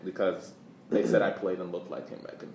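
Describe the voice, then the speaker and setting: A man's voice: deep voice, steady tone, fairly quick, gravelly, warm tone. A man co-hosting a podcast, talking casually about sports. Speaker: podcast host. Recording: roomy echo, background noise.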